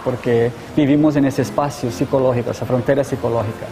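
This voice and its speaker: A man expert answering interview questions in a measured way, pausing on filler sounds. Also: mid-range voice, steady tone, big-room sound, music in the background.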